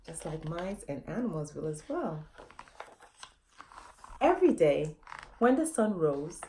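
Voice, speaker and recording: A woman's voice: fairly low pitch, mellow, a little hiss or hum. A woman author reading a children's story aloud, expressively, often addressing young listeners directly with questions.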